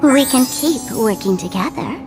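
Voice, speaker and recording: A high-pitched, smug young trickster girl in a video game. She teases theatrically and swings widely in pitch, with music playing behind her. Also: expressive, normal speed. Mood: playful, smug. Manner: teasing, theatrical, speaks of herself in third person. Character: mischievous young female masked trickster streamer, smug and theatrical. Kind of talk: video game character acting.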